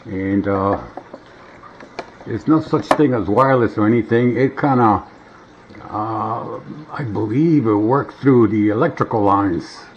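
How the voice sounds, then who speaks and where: A man's voice: on the low side, a little slow. A middle-aged man casually showing a gadget in a rambling, muttering way, recorded at a table at home. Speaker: vintage gadget demonstrator. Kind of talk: casual hands-on demonstration. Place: indoors at a wooden table at home. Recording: dull, noisy home camera audio.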